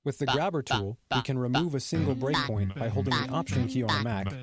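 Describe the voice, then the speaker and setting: A man narrating a software tutorial, explaining steps clearly one by one. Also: medium pitch, brisk, crowd noise. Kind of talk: instructional screencast narration.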